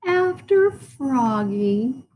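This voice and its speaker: Woman as a confident, high-voiced young girl frog. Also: very animated, very slow, darkish tone, a little hiss or hum, exaggerated voice. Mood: composed.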